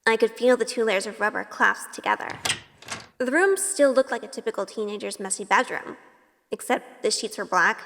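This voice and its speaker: A woman's voice: a little high, long reverb tail, lightly acted. A woman playing a sheltered, earnest college-age good girl in a first-person audio drama. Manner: earnest, unsure.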